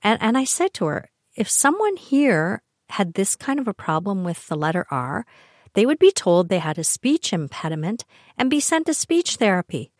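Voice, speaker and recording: A woman's voice: medium pitch, moderate pace, dry recording, clean studio sound. An older woman with a Canadian accent, hosting a podcast and telling personal family stories in a chatty, wry way. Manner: chatty, wry, animated asides. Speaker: podcast host. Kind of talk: personal storytelling monologue.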